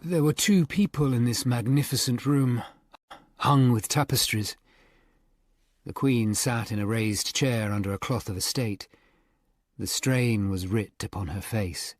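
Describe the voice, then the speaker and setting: An English man in his forties playing a thoughtful, melancholy Tudor lawyer who narrates a radio drama in a measured, literate way. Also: medium pitch, no room sound, studio quality, close to an everyday voice. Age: 40s. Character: melancholy middle-aged hunchbacked Tudor lawyer, humane and thoughtful. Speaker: narrator and lead actor.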